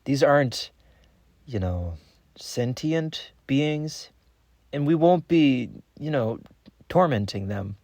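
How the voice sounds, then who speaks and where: An actor playing a pragmatic, dismissive government boss in naturalistic meeting dialogue, glibly brushing off objections. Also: male voice, medium pitch, animated, slow pace, gravelly, long pauses, a little mellow, no room sound, clean studio sound, exaggerated voice. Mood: glib, impatient.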